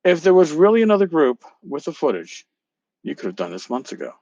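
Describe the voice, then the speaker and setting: A man hosting a podcast, talking casually and reading aloud in an emphatic, theatrical, sometimes halting way, on a slightly lo-fi recording. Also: on the high side, wildly swooping pitch, creaky, a little mellow, dry recording. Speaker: podcast host. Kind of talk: casual talk with dramatic reading of emails aloud.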